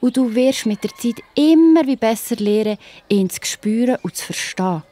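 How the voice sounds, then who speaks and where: A woman's voice: mid-range voice, darkish tone. A woman with a Swiss accent narrating a children's story, speaking directly to the young listener with wonder.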